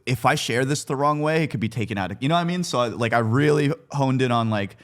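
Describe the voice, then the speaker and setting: A man's voice: medium pitch, fast. A man in his twenties talking candidly and informally as a guest about his personal life, with slang and some swearing. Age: 20s. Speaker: podcast guest, content creator.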